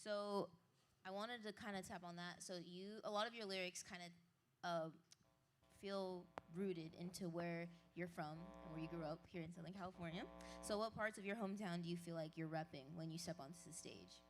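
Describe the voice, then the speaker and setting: Woman emcee on a live stage mic, chatty, lots of "like" and shout-outs. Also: on the low side, normal speed, over music. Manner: chatty and upbeat, many fillers, frequent apologies and shout-outs. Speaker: host. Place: live stage at an indoor market venue. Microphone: handheld stage mic.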